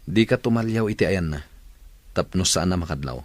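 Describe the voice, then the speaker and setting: A man's voice: low voice, expressive, fairly quick, slight background noise. A man playing a wary, scheming burglar ringleader in an audio drama, guarded and giving instructions.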